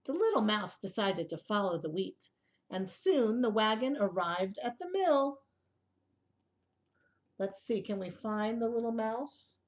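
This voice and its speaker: A woman reading a picture book aloud to young children in an expressive way, with asides and questions to the listeners. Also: medium pitch, unhurried.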